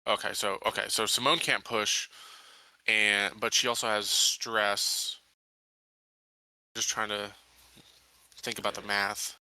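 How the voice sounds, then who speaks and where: A man's voice: fairly low pitch, fairly even intonation, slow pace, long pauses, sharp tone, dry recording, low-quality recording. A man talking casually through tactics as a tabletop game player.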